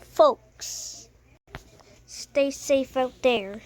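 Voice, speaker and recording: An amateur announcer with a high voice play-acting a TV weather emergency broadcast, speaking haltingly with stumbles and self-corrections.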